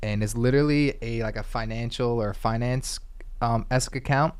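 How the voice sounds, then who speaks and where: Young dude cohosting a podcast, chill, says like and literally a ton. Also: male voice, mid-range voice, normal speed, clear, resonant tone. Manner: easygoing, says "like" and "literally" a lot. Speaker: podcast co-host. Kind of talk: casual chat.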